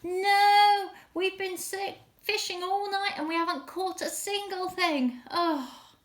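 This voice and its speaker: A woman's voice: fairly high pitch, slow pace. A woman voicing an earnest male fisherman disciple in a children's Bible story, with exclaiming delivery. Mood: weary, let down.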